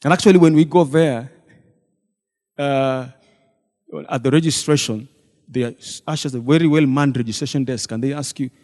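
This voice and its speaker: A man's voice: mid-range voice, moderate pace, long pauses, a little mellow. A man, a deacon telling the congregation a story about a church meeting and acting out the people he quotes. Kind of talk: church testimony retelling a meeting. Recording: long hall reverb.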